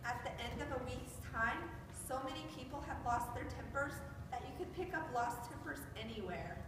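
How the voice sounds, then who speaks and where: A woman's voice: high voice, moderate pace, close to an everyday voice. A woman narrating a scripted story as part of a readers theater performance. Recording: echoey hall, far mic, noisy.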